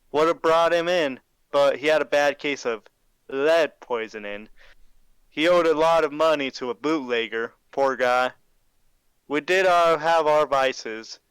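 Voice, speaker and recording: A man's voice: a little high, a bit bright, smooth, no echo, close to an everyday voice, poor audio. Folksy 1920s radio interviewee acted by a man. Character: folksy man recounting his undercover time in a hate group.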